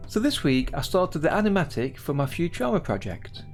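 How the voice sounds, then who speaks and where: Lively high-pitched man narrating a tutorial. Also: fairly quick, soft background music. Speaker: hobby animator presenting own project. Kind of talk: scripted tutorial voice-over.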